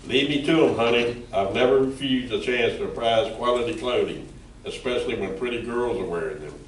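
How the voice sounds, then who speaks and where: A man's voice: medium pitch, moderate pace, far from the mic, close to an everyday voice. A man playing a flirtatious, shady husband in an amateur radio play. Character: flirtatious smooth-talking husband, shady special assistant. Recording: echoey room, off mic, faint hiss.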